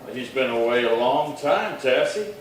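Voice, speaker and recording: A man acting as a watchful frontier marshal in a radio western. He speaks at a middling pitch, measured and firm, and sounds far from the mic in a very echoey hall. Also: lively intonation, slight background noise, natural voice. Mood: calm, firm. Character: steady, watchful male US Marshal of the Old West. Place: little theatre stage, distant mic.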